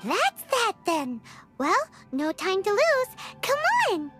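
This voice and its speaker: Super high squeaky little floating sidekick, bouncy and bossy, game voice. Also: female voice, takes it slowly, over music, exaggerated voice. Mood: excited. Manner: chirpy, blunt, high and lively. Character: tiny floating female companion, excitable and bossy. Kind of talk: video game voice acting.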